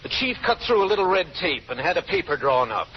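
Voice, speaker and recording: Brisk kindly American lawman, slightly high male voice, 1930s radio drama, dull old recording. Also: very animated. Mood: kindly, businesslike.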